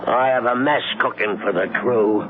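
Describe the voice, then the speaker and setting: An actor playing a weary, deferential ship's cook in an old radio drama, subdued and folksy. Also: male voice, medium pitch, normal speed, close to an everyday voice. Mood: weary, glum. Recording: tinny vintage radio with background noise.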